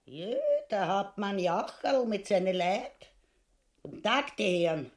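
Woman acting a bossy, vulgar Austrian wife, swooping pitch. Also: lively intonation, measured pace, close to an everyday voice. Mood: pompous hostess. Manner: coarse, scolding, theatrical. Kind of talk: grotesque stage comedy acting.

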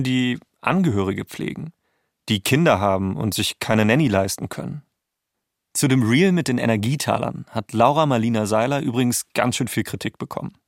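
A man's voice: mid-range voice, gravelly, no echo, studio quality. A man narrating a scripted documentary podcast as its host.

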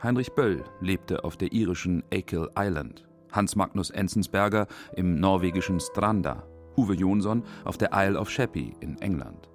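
A man's voice: sits low, moderate pace, hardly any pauses, soft background music. A man reading scripted narration for a radio documentary feature.

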